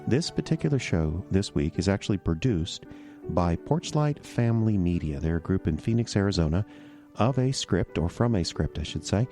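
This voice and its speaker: A middle-aged man hosting a radio theater show and introducing the episode in a relaxed, casual way with frequent fillers. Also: deep voice, normal speed, soft background music.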